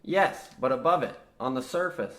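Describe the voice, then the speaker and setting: A man's voice: medium pitch, animated, normal speed, fairly bright tone, natural voice. Man acting a dry surveyor, roomy sound. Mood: businesslike. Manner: matter-of-fact, dry, polite. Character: businesslike young male mine surveyor, politely exasperated straight man. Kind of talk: parody of old radio drama acting. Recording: roomy echo, faint noise.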